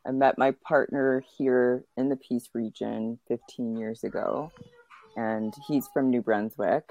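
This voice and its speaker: A woman's voice: a bass voice, fairly even intonation, a little slow, warm tone, slight background noise, rough recording. A woman in her thirties from Canada, born in Uganda, talking casually as a podcast interview guest.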